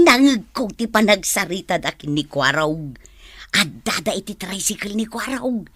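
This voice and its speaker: An actress plays a giggly village gossip in a barangay comedy. She exclaims and takes her time, in a bright, highish voice with a dry, close sound. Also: big pitch swings, slow, slightly rough, no echo, exaggerated voice. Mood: gossipy, amused. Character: gossipy, giggly village woman spreading rumours. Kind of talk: Ilocano barangay comedy drama acting.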